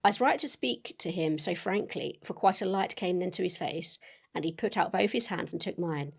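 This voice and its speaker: A woman voicing an excitable young Victorian lady reading out her sentimental letter in a gushing, earnest way. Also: on the low side, normal speed, creaky, husky. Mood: tender, earnest. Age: young adult.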